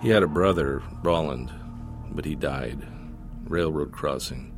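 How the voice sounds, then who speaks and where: A man's voice: a bass voice, measured pace, over music. A man voicing a laconic, sardonic card-playing neighbor who answers drily and tersely in a story reading. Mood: dry answering.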